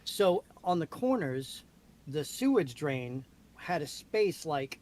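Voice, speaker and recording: Man, fairly high pitch, conversational, anecdotal, emphatic storyteller. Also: sing-song, slow pace, darkish tone. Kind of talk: casual chat, anecdotes.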